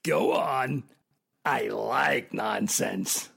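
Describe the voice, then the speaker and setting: An actor playing a smug private detective who is a cold killer in a radio mystery drama, with a raspy, gravelly voice and a coolly sardonic manner. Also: medium pitch, very slow, a bit bright, exaggerated voice. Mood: coolly menacing.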